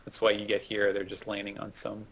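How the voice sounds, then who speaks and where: A man co-hosting casual gameplay commentary, explaining things in a measured way. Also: lowish voice, monotone, creaky, a little hiss or hum, low-quality recording. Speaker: co-host.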